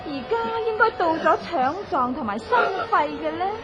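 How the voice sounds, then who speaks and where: An actress playing a cruel, gloating widow out for revenge in a Cantonese radio horror drama, with a theatrical, taunting delivery and a Hong Kong accent. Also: female voice, high-pitched, lively intonation, moderate pace, dull audio, over loud music, over a noisy crowd. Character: vengeful widow, cruel and gloating, torturing her husband's killer.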